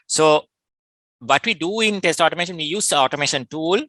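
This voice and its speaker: A man with a Sri Lankan accent giving an informal technical talk in an online workshop, explaining things in a halting way. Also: fairly high pitch, bright, forceful tone, dry recording, clean studio sound. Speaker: workshop speaker, test automation specialist.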